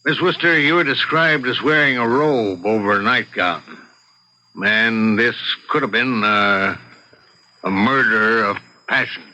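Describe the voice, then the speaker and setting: An actor playing a seasoned, blunt police detective making an official arrest in an old-time radio drama. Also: male voice, mid-range voice, measured pace, sharp tone, dull audio. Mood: firm, blunt. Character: seasoned male police detective, firm, matter-of-fact.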